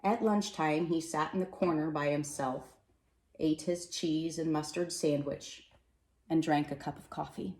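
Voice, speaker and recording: A woman reading a children's storybook aloud with expressive narration, recorded in an echoey room. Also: sits low, a little slow. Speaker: storybook reader.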